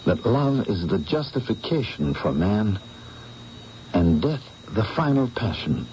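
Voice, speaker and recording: An earnest painter narrating. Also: male voice, lowish voice, very animated, a little slow, darkish tone. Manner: earnest, persuasive, reflective narration. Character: George, male painter narrating his own tale. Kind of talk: 1970s radio drama acting. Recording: dull old radio broadcast, faint hiss.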